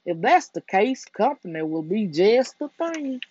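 A storyteller voicing a gentle, simple-minded male storybook bear, musing and earnest with a slight fret. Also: medium pitch, sing-song, a little slow, breathy edge, muffled recording. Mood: musing, hopeful.

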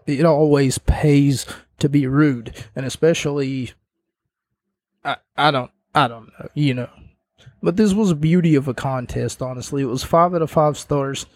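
A man with a fairly high voice talks slowly through a casual review, wandering into joking asides. His voice is starting to give out a little, and the sound is dry and close. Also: a little mellow, no room sound. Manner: rambling, jokey asides. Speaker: wrestling show reviewer, host. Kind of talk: casual spoken review and recap.

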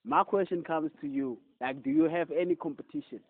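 Brief: young male host, slightly high voice, tinny phone-like line. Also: wildly swooping pitch, brisk, creaky. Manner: loose, hyped, repeats "yes, sir" and "my guy". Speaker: podcast host. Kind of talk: casual interview.

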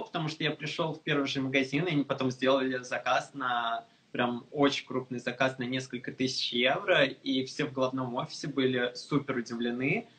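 A man's voice: mid-range voice, fairly bright tone, poor audio. A man, a course participant, talking casually and eagerly, grateful, with hesitant fillers. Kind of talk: casual conversation.

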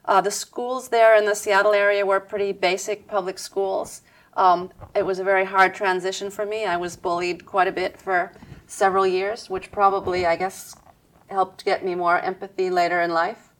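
A woman answering interview questions in a measured, reflective way, with soft "um" fillers. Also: mid-range voice, flat delivery, low-quality recording. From United States. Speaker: interview guest.

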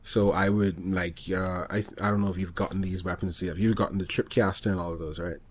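A man with a fairly low voice talks casually as a podcast co-host about video games. His delivery is informal and a bit halting as he explains how he does things. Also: steady tone.

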